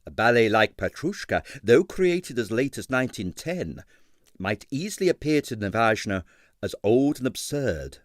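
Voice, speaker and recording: A man narrating a comic detective novel as an audiobook reader, in a measured, dramatic storytelling delivery with emphatic phrasing. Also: mid-range voice, sing-song, no echo, clean studio sound.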